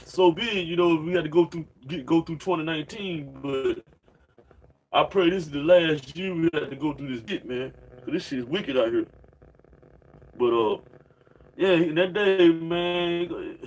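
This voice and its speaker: A man's voice: on the high side, unhurried, darkish tone, slight background noise, rough recording. A man in his late thirties preaching informally and reading scripture, rambling in slang and padding nearly every sentence with "man" and "you know what I'm saying.". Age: 30s. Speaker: religious preacher.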